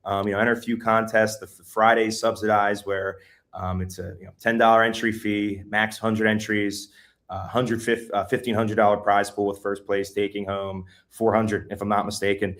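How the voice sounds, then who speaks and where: Hesitant man pitching his app. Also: little pitch movement, moderate pace, fairly bright tone. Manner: hesitant, filler-heavy. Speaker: guest. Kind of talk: casual interview promotion.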